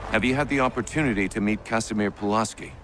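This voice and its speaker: An actor playing a dignified, resolute middle-aged army commander in a video game, speaking in a grave, formal, deliberate manner. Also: male voice, on the low side, fairly bright tone, soft background music, natural voice. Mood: grave, hopeful. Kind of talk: video game cutscene acting.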